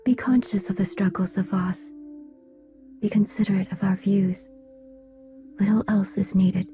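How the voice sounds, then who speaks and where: An actress playing a solemn, reserved alien woman in a video game, speaking in a measured, formal way. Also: mid-range voice, dark tone, close to an everyday voice. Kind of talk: video game cutscene dialogue.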